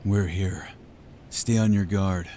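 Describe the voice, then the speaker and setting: A man's voice: deep voice, fairly even intonation, slow, dark tone, slight background noise. A man playing a stern, hot-headed veteran vampire hunter in an audio roleplay, commanding and confrontational. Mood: stern, watchful. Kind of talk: dramatic audio roleplay acting. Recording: echoey hall reverb with music underneath.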